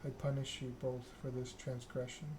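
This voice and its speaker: A man voicing a cold, noble female vampire ruler in a video game, speaking formally and with measured command. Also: mid-range voice, monotone, lightly acted. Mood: stern, formal. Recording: clear background noise.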